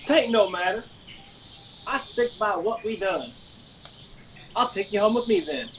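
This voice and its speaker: A man's voice: sits high, unhurried, fairly bright tone, a little hiss or hum. A man playing a devoted young mountain suitor with a Southern US accent in a radio drama. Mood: tender, resolute. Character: devoted young Appalachian man courting his sweetheart.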